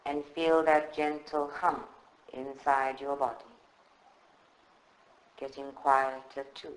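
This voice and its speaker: A man leading a guided meditation in a calm, soothing, measured way with long pauses. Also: mid-range voice. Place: indoor room at a group meditation session. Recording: roomy, echoing live recording.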